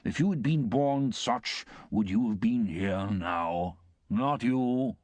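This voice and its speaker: A man voicing a pompous, self-important middle-aged uncle who lectures slowly and with emphasis. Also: lowish voice, darkish tone, dry recording, pitched above the performer's own voice. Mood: lecturing.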